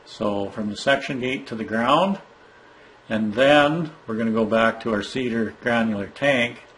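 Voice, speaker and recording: A man's voice: medium pitch, a little slow, fairly bright tone, low-quality recording. A man giving a plain, step-by-step walkthrough of a monitor's setup screens, speaking from behind a handheld camera in an indoor room. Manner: plain, instructive, some fillers and restarts. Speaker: product trainer.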